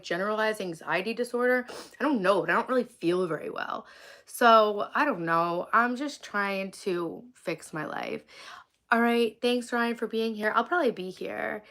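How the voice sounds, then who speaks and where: Sniffly fry-voiced woman in her forties. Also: medium pitch, a bit bright. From Long Island, New York. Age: 40s. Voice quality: vocal fry. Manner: rambling, heavy use of "like". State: sniffly from a cold. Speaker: livestream vlogger. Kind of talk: casual live stream chat with viewers. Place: outdoors, sitting under a tree.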